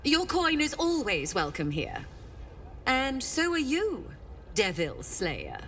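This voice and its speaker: Silky infernal shopkeeper, game dialogue, animated intonation, unhurried, knowing, faint ambience. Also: female voice, sits high, a little hiss or hum, full character voice. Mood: sly, amused. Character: sly, silky female devil merchant of the Hells. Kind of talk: dramatic video game dialogue.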